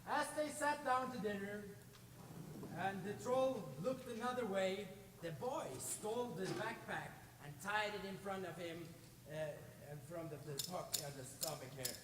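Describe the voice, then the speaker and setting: A young man from Norway narrating a folktale live on stage in a theatrical, expressive way. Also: high voice, unhurried. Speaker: storyteller. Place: stage in a large hall. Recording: echoey hall, distant mic, clear noise.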